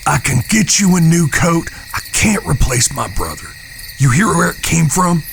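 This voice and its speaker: A stern eldest brother from a Western audio drama, played by a man, gravelly and commanding. He speaks plainly. Noticeable outdoor noise with crickets sits behind the voice. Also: on the high side, lively intonation, moderate pace. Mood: urgent, concerned. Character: stern, protective eldest brother, Old West gang leader. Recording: noisy track with cricket-filled outdoor ambience.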